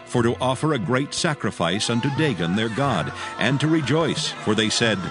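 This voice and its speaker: A man narrating scripture from a script in a solemn, measured storytelling manner. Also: mid-range voice, expressive. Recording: clear music under the voice.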